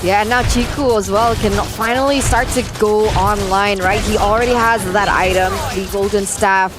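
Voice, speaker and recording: A woman's voice: mid-range voice, steady tone, normal speed, music in the background. A woman commentating on a live esports game in a conversational, analytical way, often checking with a tag question. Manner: conversational, often ends with "right?".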